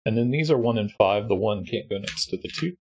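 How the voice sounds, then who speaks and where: A man with a stuffy, congested voice talks a listener through a logic puzzle one cell at a time. His tone is low and slightly husky, and his explaining is patient and methodical. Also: animated, brisk. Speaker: puzzle solving host. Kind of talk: sudoku walkthrough.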